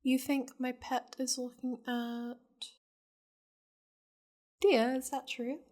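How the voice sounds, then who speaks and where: A woman voicing a seductive, possessive demon lady in an ASMR roleplay, languid and teasing, slipping into breathy half-whispers. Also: sits high, little pitch movement, slow, dark tone. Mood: playful, doting. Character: seductive, possessive powerful demon lady doting on her pet.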